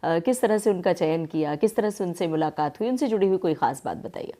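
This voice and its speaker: A woman's voice: fairly low pitch, animated, fairly quick, dark tone. A woman hosting a conversational radio interview, asking flowing, formally worded questions in an attentive manner.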